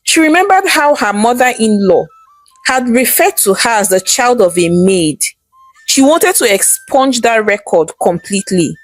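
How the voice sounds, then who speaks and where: A woman tells a scripted folktale with very animated, swooping pitch. Her delivery is dramatic storytelling, with faint music playing underneath. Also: measured pace. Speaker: storyteller narrator.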